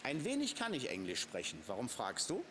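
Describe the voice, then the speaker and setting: A man's voice: mid-range voice, brisk, slight background noise. A middle-aged man presenting products on a shopping channel in a TV studio, joking and chatty. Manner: joking, lively, restless asides. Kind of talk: casual product demonstration. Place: TV studio stage.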